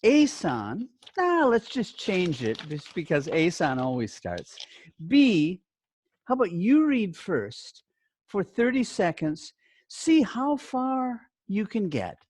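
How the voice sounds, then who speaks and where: Lively, varied-pitch teacher giving slow instructions. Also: no room sound. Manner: clear simple explanations with short instructions and check questions. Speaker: teacher training English language teachers. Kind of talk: instructional lesson with step-by-step activity directions.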